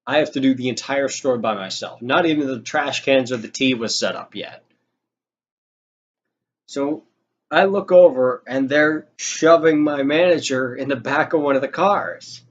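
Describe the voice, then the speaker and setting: A man's voice: mid-range voice, unhurried. A young man telling a casual story in a rambling, informal way with some swearing. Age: young adult. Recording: dull lo-fi audio with noticeable noise.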